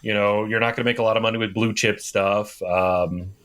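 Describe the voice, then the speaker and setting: A man's voice: lowish voice, fairly even intonation, brisk, a bit bright. A man in his thirties co-hosting a podcast, explaining things in casual chat with hesitant, restarted phrases. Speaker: podcast co-host.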